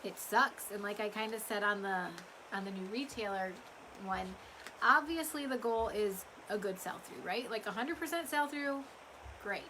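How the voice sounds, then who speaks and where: A woman's voice: mid-range voice, animated, moderate pace, pushed and bright, a little hiss or hum, poor audio. A woman chats casually and ramblingly to customers on a livestream, her voice a little strained from talking. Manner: rambling, chatty. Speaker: small business owner hosting a live update.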